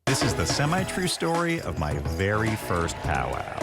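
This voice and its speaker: A man narrating his own childhood story in an animated short, in a wry scripted storytelling style. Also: fairly low pitch, moderate pace, voice pushed up. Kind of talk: scripted cartoon trailer narration. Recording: film trailer with loud music. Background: loud music.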